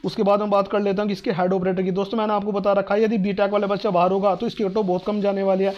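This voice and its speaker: A North Indian man talks quickly in a high voice that stays on a narrow, even pitch. He explains things informally to students, repeating himself and reassuring them as an online exam-advice host would. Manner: repetitive, reassuring, asks and answers own questions.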